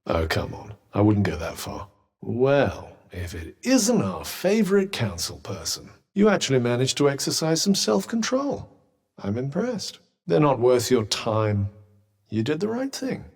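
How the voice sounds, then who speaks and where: A man's voice: medium pitch, huge pitch swings, unhurried, darkish tone, breathy edge, heavily acted. A man with a British accent voicing a haughty, sardonic talking magical object in a video game, with a dry, condescending and theatrical manner. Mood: exasperated. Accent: British English. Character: sardonic, haughty, well-spoken talking magical cuff, male. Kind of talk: video game character dialogue.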